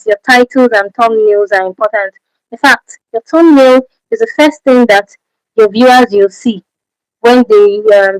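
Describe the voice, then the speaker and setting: A woman's voice: fairly high pitch, no room sound, rough recording. Nigerian woman hosting a livestream Q&A. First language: Efik. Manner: repeats key points, asks rhetorical questions. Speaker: channel host. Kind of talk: livestream Q&A advice.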